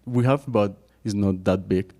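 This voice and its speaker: An academic asks a question from the conference floor in English. His voice is low and dark. He speaks carefully and searches for his words. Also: a little slow. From Colombia. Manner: searching, filler-laden, careful. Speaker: audience member, academic researcher. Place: conference room.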